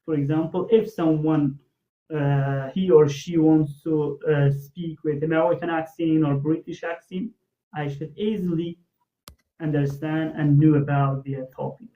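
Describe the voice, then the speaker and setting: Farsi-native host asking long rambly questions in english, higher voice, muffled audio. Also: male voice, fairly even intonation, measured pace. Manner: long, searching questions with fillers. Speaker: interview host.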